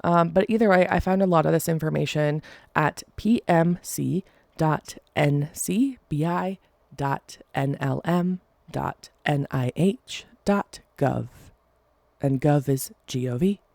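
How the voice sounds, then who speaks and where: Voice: mid-range voice, slow pace, vocal fry, a little mellow, no room sound. A podcast host with a congested, nasal voice, talking casually and rambling into playful asides while recording alone at home. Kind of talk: casual solo podcast talk.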